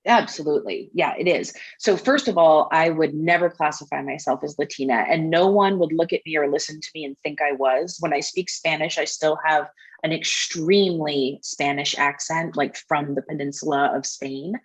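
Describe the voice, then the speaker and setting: Woman with a low voice, podcast guest, earnest, talks it through and restarts a bit. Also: normal speed, husky, rough recording. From United States. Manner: earnest, thoughtful, restarts often. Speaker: podcast guest, sales manager. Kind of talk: casual interview talk.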